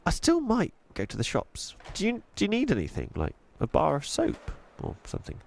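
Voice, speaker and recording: A man's voice: on the high side, huge pitch swings, normal speed, creaky, pitched above the performer's own voice, full character voice. Man voicing a shy, lilting schoolgirl. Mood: shy, hesitant. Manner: hesitant, polite. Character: shy, polite schoolgirl, awkward and unsure of herself. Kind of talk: children's storybook character reading.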